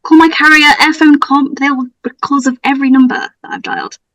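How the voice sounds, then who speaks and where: A woman's voice: high voice, fairly even intonation, brisk, a bit bright, dry recording. A woman playing an indignant middle-aged father in an amateur script read, exasperated and insistent. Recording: dull, distant microphone sound.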